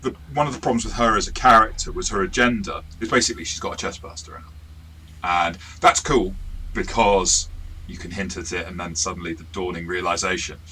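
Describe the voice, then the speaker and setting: A man's voice: deep voice, fairly quick, sharp tone, a little hiss or hum, low-quality recording. A man in a casual review chat as host and game master, explaining things in an informal, discursive way.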